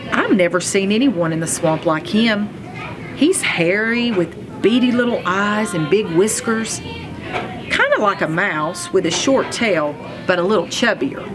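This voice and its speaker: A woman reading a children's story aloud in an expressive, playful manner. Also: mid-range voice. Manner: expressive, playful, acts out sounds and exclamations. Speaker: read-aloud reader, school superintendent.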